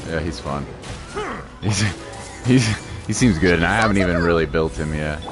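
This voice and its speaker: Male game streamer, low register. Informal, chatty, thinks out loud over faint game music. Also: moderate pace. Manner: informal, rambling, thinking aloud, occasional playful exclamations. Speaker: gaming livestream host. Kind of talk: casual livestream gameplay commentary, chatting with viewers.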